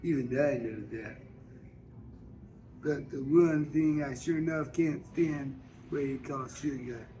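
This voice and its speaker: A man reading a first-person novel aloud as an amateur narrator, halting, with stumbles and repeated words. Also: on the high side, mellow, rough recording. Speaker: amateur audiobook reader. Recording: faint background noise.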